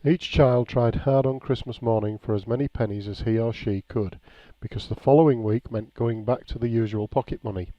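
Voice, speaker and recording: A man reading a nostalgic written memoir aloud in a measured, plain storytelling way. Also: mid-range voice, dark tone. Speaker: reader of a written local-history account. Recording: dull, lo-fi, echoey room.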